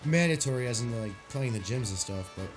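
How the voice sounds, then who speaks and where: A man's voice: medium pitch, animated, brisk, over music. A man streaming a game, chatting casually and rambling, stuffy from a sinus headache. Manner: rambling, laid-back, swears casually.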